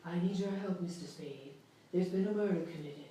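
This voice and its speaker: A man voicing a mysterious caller who reports a murder, speaking quietly. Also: high voice, a little fast, a little hiss or hum, natural voice. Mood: hushed. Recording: echoey room, distant mic.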